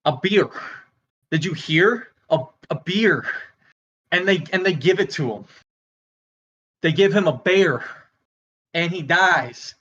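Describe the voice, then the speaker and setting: A man acts out a struggling stand-up comic with a high, bright voice. He stammers and halts through his act, flustered and exasperated, a volatile performer on a short fuse in a dramatic script read. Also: lively intonation, slow pace, pauses often, lo-fi sound, exaggerated voice. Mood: awkward. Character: flustered, volatile failing male stand-up comedian. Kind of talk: dramatic screenplay table read, stand-up monologue.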